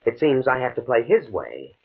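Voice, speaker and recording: A man's voice: medium pitch, sing-song, normal speed, gravelly, slightly rough, full character voice. A man playing a determined, fatalistic professor in a 1950s radio drama, speaking in a grave, precise way. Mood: grimly determined. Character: desperate, determined male professor, coolly fatalistic scientist. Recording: muffled, band-limited old radio recording.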